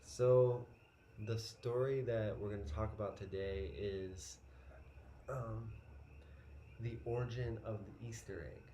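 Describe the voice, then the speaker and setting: A man hosting a casual interview, relaxed and friendly, with fillers here and there. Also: on the low side, slow. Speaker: host. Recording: echoey room with background noise.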